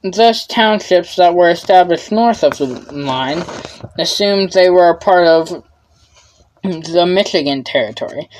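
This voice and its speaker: A woman's voice: fairly low pitch, unhurried, a little mellow, rough recording. A young woman presenting a history lesson from a script, stumbling and restarting now and then as her voice wears out. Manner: reads carefully with frequent stumbles and restarts. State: losing her voice.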